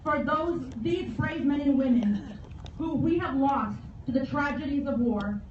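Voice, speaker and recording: High-pitched American woman giving a solemn speech. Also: expressive, recorded from a distance. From San Antonio, Texas, United States. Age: 40s. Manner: solemn, measured phrasing. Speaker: guest speaker, veterans service officer. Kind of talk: formal memorial speech. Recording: echoey outdoor PA from afar, dull, faint noise.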